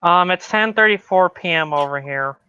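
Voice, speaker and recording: Bright crisp voice on a dull call line. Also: male voice, high voice, moderate pace, dry recording. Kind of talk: casual group voice chat. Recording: dull dry voice-call audio.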